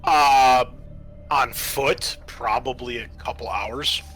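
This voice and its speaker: A man's voice: medium pitch, slow, a bit bright, soft background music, poor audio. A man acting as game master, casually explaining a tabletop sci-fi game. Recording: noticeable background noise.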